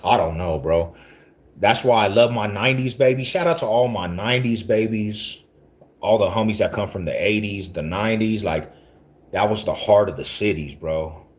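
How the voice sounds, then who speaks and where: A man's voice: lowish voice, moderate pace. A man in his forties from Denver, talking casually to his livestream viewers in rambling street slang and often repeating himself. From Denver, Colorado. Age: 40s.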